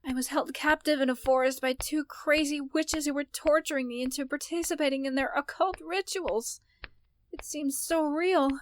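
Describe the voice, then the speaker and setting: A woman voicing a haughty, indignant young space princess in an animated comic dub, articulate and scolding. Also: high-pitched, moderate pace, a little hiss or hum, close to an everyday voice. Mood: groggy, shaken.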